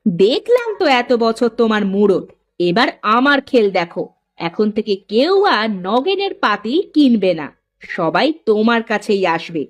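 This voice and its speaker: Female actor as resolute village potter's wife, cartoon story, assertive, fairly high pitch, animated intonation. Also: normal speed. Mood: determined.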